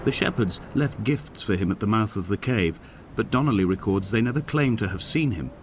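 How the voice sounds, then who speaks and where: An actor playing a solitary, melancholy middle-aged man, narrating in a measured, solemn, literary style for a game. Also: on the low side, animated, background noise, lightly acted. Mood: wistful, reflective. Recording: music behind the narration. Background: clear background music.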